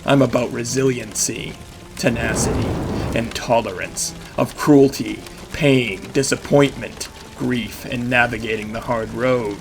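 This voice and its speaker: A man's voice: mid-range voice, steady tone, natural voice. Man acting a grim, battered vigilante narrator over music. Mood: grim resolve. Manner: intense, clipped, halting phrases. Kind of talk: first-person dramatic audio drama narration. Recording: music behind the voice. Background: dramatic music.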